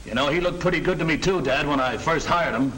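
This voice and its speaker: An actor playing an earnest middle-aged American sales manager in a 1940 training film, speaking in a firm, instructive way. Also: male voice, a little high, fast talker, bright, forceful tone. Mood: reflective, earnest. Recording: noisy old 1940 film soundtrack.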